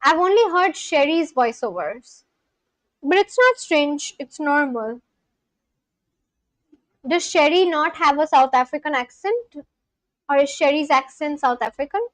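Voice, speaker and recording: A woman's voice: sits high, lively intonation, measured pace, pauses often, dry recording, poor audio. A woman from India, chatting casually on a live stream at home and answering her chat aloud. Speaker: language-learning livestreamer. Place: at home at a laptop.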